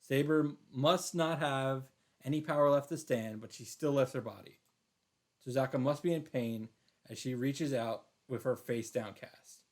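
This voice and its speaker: A man reading a visual novel aloud as a streamer, in an emphatic, dramatic storytelling style with drawn-out pauses. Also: medium pitch. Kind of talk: dramatic narrated read-aloud.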